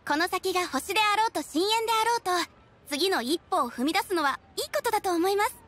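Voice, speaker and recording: A woman voicing a polite, gentle young receptionist in an anime-style game, speaking formally and courteously. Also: very high voice, animated, quick pace. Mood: gently encouraging. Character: polite, gentle young female guild receptionist in maid uniform. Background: background music.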